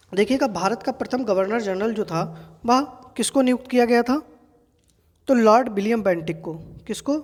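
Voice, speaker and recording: Indian woman, exam coach, medium pitch, didactic question-and-answer delivery, repetitive emphasis, heavy hall-like reverb. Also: a little mellow. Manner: didactic, repeats key facts and asks rhetorical questions. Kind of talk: general knowledge quiz lesson for exam students. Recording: long reverberant hall-like echo.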